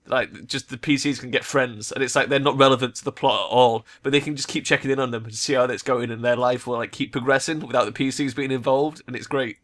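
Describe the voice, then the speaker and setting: Bright-voiced man doing casual game commentary. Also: medium pitch, fairly even intonation, quick pace, hardly any pauses. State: slightly croaky. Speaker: let's play host. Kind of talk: casual game commentary mixed with reading dialogue aloud.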